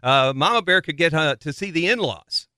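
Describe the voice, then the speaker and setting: A man's voice: a little high, expressive, moderate pace, sharp tone, clean tone, no room sound, studio quality. A man hosting a motorsport radio show, interviewing and reading sponsor plugs in a folksy, playful, wordy way.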